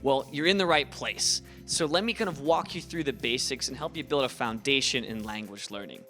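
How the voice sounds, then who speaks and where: Young ohio guy teaching a lesson, bright voice, chatty and encouraging, bit of music under it. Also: medium pitch, a little fast. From Ohio, USA. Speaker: language teacher, channel host. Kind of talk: casual video lesson.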